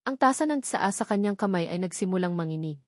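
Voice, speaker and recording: A woman's voice: lowish voice, expressive, fast, no echo, clean studio sound. A woman narrator reading a scripted dramatic story.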